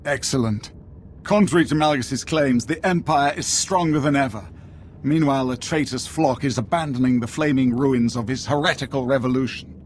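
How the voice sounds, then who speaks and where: A man's voice: mid-range voice, slight background noise, natural voice. Man as a proud, formal imperial commander. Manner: formal, measured oratory. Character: proud imperial war leader, grave and commanding. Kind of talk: video game voice acting.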